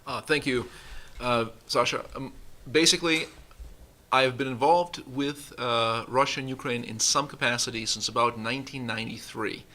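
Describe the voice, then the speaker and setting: A man's voice: mid-range voice, steady tone, normal speed, sharp tone. A man in his forties, a company vice president, speaking earnestly on a conference panel with an uneven pace and some restarts. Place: conference room.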